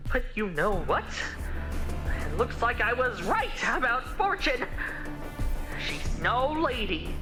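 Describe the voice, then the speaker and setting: A man's voice: very high voice, exaggerated voice, rough recording. An actor playing a self-pitying, delusional male internet troll and grand wizard in a theatrical, whiny game performance. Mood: bitter, accusing. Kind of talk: exaggerated video game cutscene acting. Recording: loud music behind the voice. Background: music.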